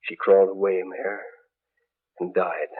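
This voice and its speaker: An actor playing a grieving older father in a 1940s radio drama, halting and solemn, on a muffled vintage recording. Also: deep voice, level tone, husky. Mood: solemn grief. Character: troubled, grieving older father of a grown daughter.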